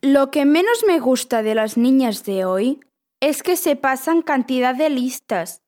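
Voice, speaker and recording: Know-it-all little boy, high-pitched, cheeky, children's radio theatre. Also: animated, a little slow, darkish tone. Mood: teasing, smug.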